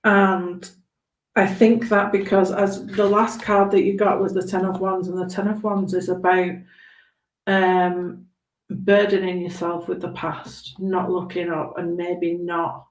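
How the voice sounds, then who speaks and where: A woman giving a spontaneous live tarot reading in a halting, reassuring, conversational way, with a slightly hoarse voice. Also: fairly low pitch, flat delivery. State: hoarse after long talking. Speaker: tarot reader hosting a live stream. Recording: roomy, echoing room sound.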